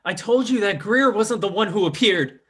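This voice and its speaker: Man playing an indignant, shrill phony spiritualist. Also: normal speed, fairly bright tone. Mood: agitated, accusing. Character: indignant male fake spiritualist, caught and protesting. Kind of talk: old-time radio drama acting.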